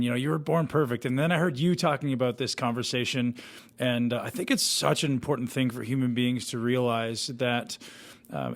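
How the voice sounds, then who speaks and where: An enthusiastic male podcast host speaks at a middle pitch, praising his guest effusively. He fills pauses with "um"s and sounds upbeat. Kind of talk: casual podcast intro and interview.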